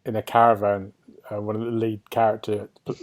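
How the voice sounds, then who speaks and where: A man's voice: lowish voice, monotone, brisk. A British man hosting a podcast, chatting casually about video games and often turning statements into questions. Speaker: podcast host.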